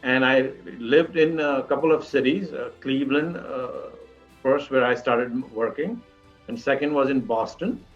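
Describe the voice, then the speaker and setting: A man in his fifties with an Indian accent recounts his life as an interview guest. His phrasing is halting and repetitive, at an average male pitch. The audio is dull and lo-fi, with faint music underneath. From Mumbai, India. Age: 50s. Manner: halting, repetitive phrasing with frequent you-knows.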